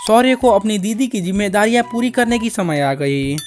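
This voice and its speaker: A woman narrates a scripted cartoon story in a mid-pitched voice. Music plays underneath her narration. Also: moderate pace.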